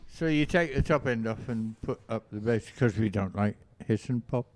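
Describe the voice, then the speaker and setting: A man's voice: fairly low pitch, moderate pace. A man joking around in a studio before a live radio show, repeating his own quips. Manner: wisecracking, repeats jokes. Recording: echoey room with loud ambient noise.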